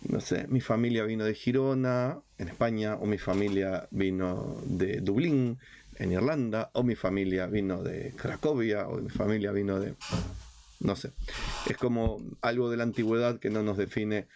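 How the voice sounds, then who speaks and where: A man's voice: lowish voice, moderate pace, creaky, a little mellow. A man from Uruguay with a Rioplatense accent, teaching religious history as a rabbi in an informal, didactic and emphatic lecture style. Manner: didactic, emphatic, with rhetorical questions and repetition.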